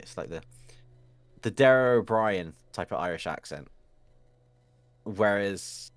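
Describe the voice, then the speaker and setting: A man with a low, deep voice chats casually while drawing on a stream. He laughs often and trails off mid-sentence. The sound is dry and close, with no room echo. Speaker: art streamer.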